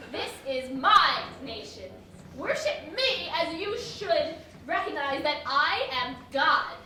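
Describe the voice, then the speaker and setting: Woman as high-voiced tyrannical Roman emperor, echoing hall. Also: exaggerated voice. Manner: imperious, emphatic, theatrical. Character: tyrannical, megalomaniac Roman emperor demanding worship. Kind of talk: staged theatrical reading. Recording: very echoey hall, distant, clear noise.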